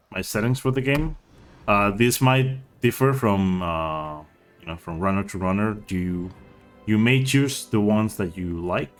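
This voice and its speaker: Low-voiced man, halting tutorial talk. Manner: hesitant, halting, often trailing off. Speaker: speedrunner giving a game tutorial. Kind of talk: casual unscripted tutorial narration.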